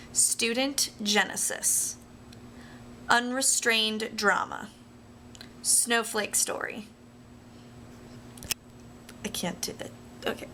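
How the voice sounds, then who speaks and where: A woman's voice: a little high, sharp tone, slight background noise. A young American woman talking casually to viewers like a video diary, rambling in a playful, self-deprecating way. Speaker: vlogger.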